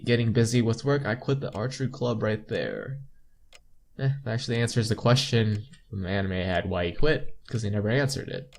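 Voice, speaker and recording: A man's voice: medium pitch, a little mellow. Casual young man reading a game aloud. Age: young adult. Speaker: playthrough host.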